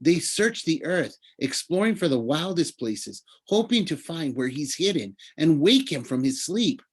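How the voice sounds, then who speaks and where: A man's voice: a little high, big pitch swings, unhurried, breathy edge, voice pushed up, heavily acted. A man voicing an earnest, easily indignant young male satyr in a storybook reading, lecturing in a plaintive way. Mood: earnest, hopeful.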